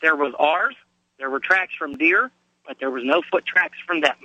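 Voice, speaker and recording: A hoarse man from Pennsylvania calling a radio show, telling a story in a plain, halting way. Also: on the high side, pushed and bright, no echo. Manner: plain, halting, many fillers. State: hoarse from a cold. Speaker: caller. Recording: dry muffled phone line.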